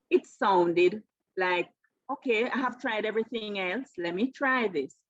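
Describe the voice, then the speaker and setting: A woman's voice: fairly low pitch, fairly bright tone, dry recording, dull audio. A woman in her fifties with a Jamaican accent, telling her personal story conversationally as an interview guest. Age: 50s. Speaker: interview guest, keto coach.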